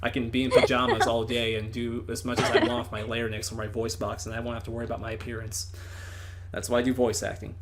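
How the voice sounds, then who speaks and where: A man interviewing a guest in a casual online chat, animated and expressive, laughing often. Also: medium pitch, fast, heavy background noise. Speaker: interviewer, voice actor.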